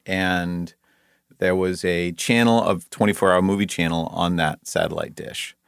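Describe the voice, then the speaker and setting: Low gravelly man, dry humor. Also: steady tone, a little slow, fairly bright tone, dry recording. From Denmark. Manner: laid-back, dry humor, frequent ums and trailing off. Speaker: guest, network executive. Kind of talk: casual interview conversation.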